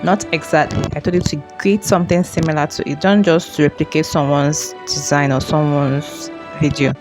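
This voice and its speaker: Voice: mid-range voice, steady tone, moderate pace. Tutorial host, mid-range voice, informal step-by-step explaining, rephrases often, music playing behind.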